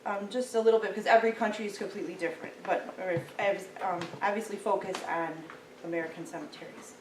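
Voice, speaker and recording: Soft-spoken woman lecturing in an echoey room. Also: mid-range voice, fairly even intonation, fast, background noise. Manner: soft-spoken, friendly, chatty. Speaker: guest speaker, local historian. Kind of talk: public lecture. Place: meeting room. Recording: echoey room, mic from far off.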